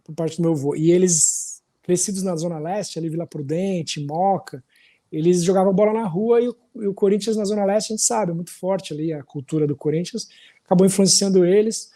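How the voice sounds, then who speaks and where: Paulistano guy in his 40s, chatty rambly storyteller, kinda high but mellow voice. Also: fairly quick. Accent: Paulistano Brazilian Portuguese. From São Paulo, Brazil. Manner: relaxed, rambling, with frequent tag questions. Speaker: podcast guest, musician. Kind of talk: casual storytelling chat.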